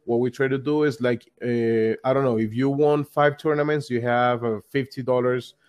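A man's voice: mid-range voice, steady tone, moderate pace, darkish tone, smooth. Young man, loose rambling talk. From Colombia. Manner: loose, repetitive phrasing with many likes and restarts. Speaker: guest, card game store player. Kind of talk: casual interview over call.

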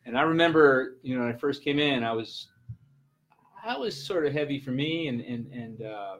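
Halting man in his sixties, chatting informally. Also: mid-range voice, a little hiss or hum. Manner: halting, repeats words and trails off. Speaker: guest, land trust representative and gym client. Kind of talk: casual unscripted interview chat.

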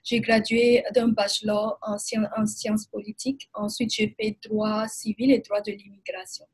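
A woman from Burkina Faso, answering interview questions in hesitant, measured phrasing. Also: medium pitch, level tone, a little mellow, breathy edge, low-quality recording. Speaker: guest, immigration lawyer.

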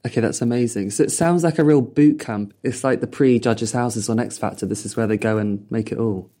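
A woman's voice: rumbling low, fairly quick, warm tone. A woman hosting an interview, asking her guest follow-up questions with enthusiasm. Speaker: interview host. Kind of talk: casual interview.

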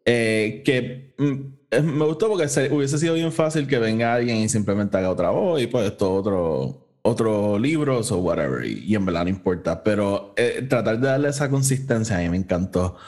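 Low-voiced man, casual podcast host. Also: animated, normal speed, darkish tone. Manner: mixes English phrases into Spanish; informal, slangy. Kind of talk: casual review chat.

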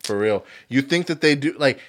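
A man's voice: medium pitch, animated, normal speed, a bit bright. A young man co-hosting a casual podcast chat, laid-back and slangy, often trailing off with "like". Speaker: podcast co-host.

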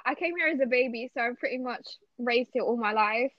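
A woman's voice: fairly high pitch, brisk, no echo, dull audio. A young woman from Bangladesh hosting a casual podcast chat, upbeat and chatty with lots of fillers. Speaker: podcast host.

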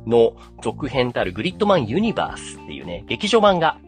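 A man's voice: medium pitch, wildly swooping pitch, quick pace, soft background music. A man in his thirties casually chatting and explaining as a podcast host.

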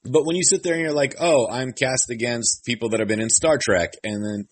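Husky man interviewer, rambling questions. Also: medium pitch, steady tone, a little fast. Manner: rambling, filler-heavy questions. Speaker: entertainment interviewer. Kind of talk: press interview.

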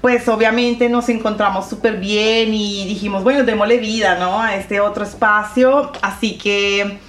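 A middle-aged woman on a radio show, explaining things steadily with pauses and fillers. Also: medium pitch, reverberant. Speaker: radio show host, interview guest. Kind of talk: conversational radio interview.

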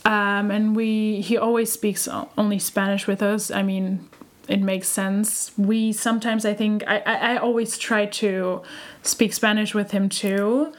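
Young german woman on a podcast, lots of ums and restarts, mid pitch, just chatting. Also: little pitch movement, measured pace. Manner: hesitant, many fillers and restarts. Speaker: podcast co-host. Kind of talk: casual chat.

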